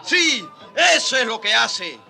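An imperious colonial landowner for a radio serial. His voice is pitched very high and loud, angry and demanding, with music clearly behind it. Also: very animated, slow, heavily acted. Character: angry, domineering colonial Spanish encomendero leader. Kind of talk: radio drama acting.

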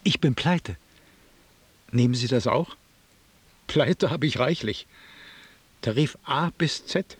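A weary, husky, lonely man. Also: mid-range voice, slow, pauses often, dry recording. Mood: weary longing. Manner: pleading, musing, fragmented phrases. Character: weary, broke, lonely man pleading for an apartment. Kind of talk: radio drama acting.

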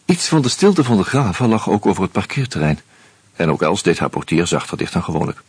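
An actor playing a wry, uneasy screenwriter who narrates a radio play in a measured, reflective way with hesitant fillers. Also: male voice, low-pitched, big pitch swings, breathy edge, no room sound, exaggerated voice. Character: wry, uneasy male screenwriter caught up in murders.

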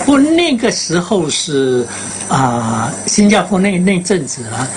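An older woman, a veteran broadcaster, recalling the past haltingly in an interview. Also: lowish voice, big pitch swings, darkish tone, a little hiss or hum. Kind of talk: reminiscing in an interview.

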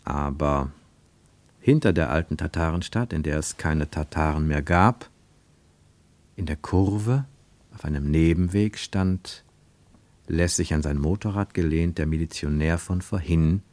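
A man narrating a radio drama in a measured, deliberate storytelling manner, recorded in a studio. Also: a bass voice, a little mellow, no room sound. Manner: measured, deliberate storytelling with slowed emphatic phrases.

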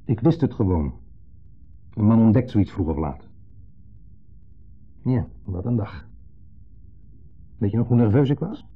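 A man's voice: lowish voice, sing-song, unhurried, pauses often, slightly rough, exaggerated voice. An actor in a 1970s radio play voicing an insecure, needling man with a Netherlands Dutch accent, who speaks theatrically and dwells pointedly on his words. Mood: nervy, reminiscing. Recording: muffled, band-limited 1970s radio recording.

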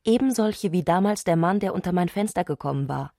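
A woman's voice: lowish voice, lively intonation, fairly quick, dark tone, no echo, clean studio sound. A fervent, visionary woman, closely recorded. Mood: exalted, insistent. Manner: insistent, declarative, visionary. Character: Clarisse, fervent, eccentric woman obsessed with madness. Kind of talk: literary radio drama acting.

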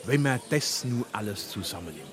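A man's voice: fairly low pitch, very animated, normal speed. A man playing a self-important, lecturing middle-aged newspaper fanatic with a Hessian accent in a dialect comedy. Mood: pompous, lecturing. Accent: Hessian, Darmstadt dialect. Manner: pompous, lecturing. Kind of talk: dialect stage comedy acting.

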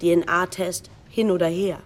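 A woman's voice: on the low side, little pitch movement, slow. A woman narrating a radio feature with scripted, pensive, literary commentary.

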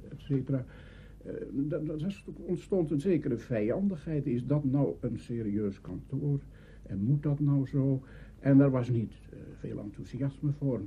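A man's voice: mid-range voice, warm tone, a little hiss or hum. An older man recalling his early days in radio in an interview, hesitant and full of fillers. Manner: hesitant, many fillers, anecdotal. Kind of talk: reminiscing interview. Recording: dull lo-fi archive tape.

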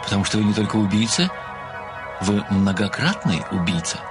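A man's voice: fairly low pitch, big pitch swings, a little fast, music in the background. An actor playing an earnest, self-satisfied amateur sleuth in an audio drama, admiring yet pedantic, formal and precise in his address. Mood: bewildered, protesting. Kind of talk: theatrical audio drama dialogue.

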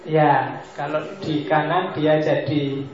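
A man, his voice pitched a little high, lectures on philosophy in a casual, joking way and drifts into asides. He is recorded in a large mosque hall that fills with long echo, and the sound is dull and lo-fi. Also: level tone, slow pace, darkish tone. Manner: joking, mixes Javanese asides.

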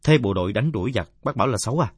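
A man's voice: lowish voice, lively intonation, very fast, slightly rough, no room sound. A man voicing a polite but persistent young boy who argues respectfully in a story. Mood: questioning.

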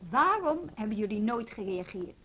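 An actress playing an assertive, direct woman with a quick wit in a radio play. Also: mid-range voice, sing-song, a bit bright. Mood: wry, pressing. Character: assertive, sharp-witted woman pressing her case. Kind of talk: Dutch radio play acting. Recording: noisy old radio drama recording.

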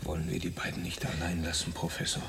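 A man playing an uneasy younger companion of a professor in a radio drama, with a hushed, hesitant delivery. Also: sits low, monotone, quick pace, gravelly, noisy background. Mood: sorrowful. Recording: music behind the voice.

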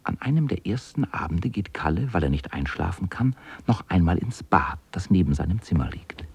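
A man narrating a children's radio play as a calm storyteller with a husky voice. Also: sits low, normal speed, no echo, exaggerated voice. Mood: storytelling.

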